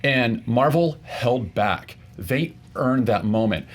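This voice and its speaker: A man's voice: mid-range voice, animated, slow. A man debating pop culture casually as a show contestant, making his case emphatically and repeating phrases for stress.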